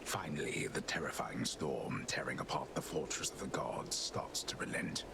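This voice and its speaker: A man playing a grave old storyteller in a video game, narrating slowly and portentously in a gravelly, breathy voice. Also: extremely low pitch, whispered. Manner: slow, portentous.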